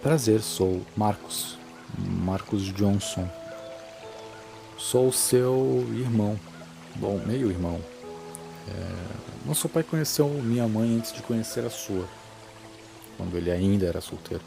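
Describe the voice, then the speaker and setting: A man playing an earnest adult visitor in an audio drama, halting and subdued in a conversational way. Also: lowish voice, gravelly, music in the background. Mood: awkward, subdued. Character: earnest, hesitant adult man, visiting long-lost half-brother.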